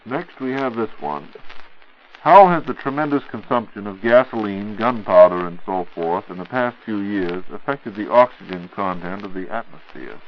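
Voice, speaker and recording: A man with a lowish voice and an American accent announces a 1940s radio program. His delivery is formal, measured and polished, reading from a script. The sound is the dull, narrow one of an old broadcast. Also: slight background noise. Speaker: radio show host and announcer. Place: radio studio.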